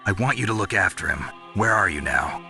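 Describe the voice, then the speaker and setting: An actor playing a gruff, terse soldier on a stealth mission in a video game, restrained and gritty. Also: male voice, low voice, lively intonation, fairly quick, pushed and bright, faint music behind, exaggerated voice. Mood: grim, guarded.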